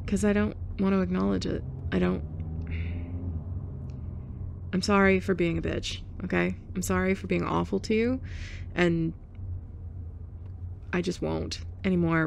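A young woman in a scripted roleplay monologue, abrasive and sarcastic, with awkward soft laughs and sighs. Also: fairly low pitch, steady tone, moderate pace, gravelly, slight background noise. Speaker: ASMR roleplay performer.

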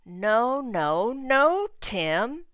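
A woman voicing an exasperated storybook mom scolding her young son with emphatic, drawn-out commands. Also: fairly high pitch, big pitch swings, fairly bright tone, clean tone, dry recording, clean studio sound, pitched above the performer's own voice, exaggerated voice.

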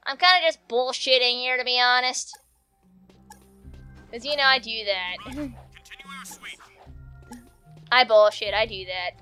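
A high-voiced woman rambles through casual gameplay commentary, giggling and talking to herself. Her tone is bright and a little stuffy, as if congested. Faint game music plays underneath. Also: unhurried, pauses often. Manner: rambling, self-chatting, giggly. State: congested from allergies. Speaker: let's play host.

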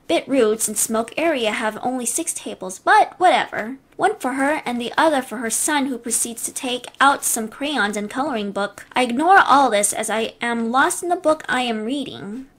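A woman narrating posts from an online forum in a brisk, lively reading voice. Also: fairly high pitch. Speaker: story narrator.

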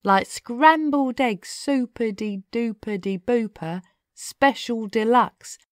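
A woman narrating a rhyming children's story aloud in an expressive, deliberate, rhythmic way. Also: on the high side, no room sound, studio quality. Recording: music behind the voice. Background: music.

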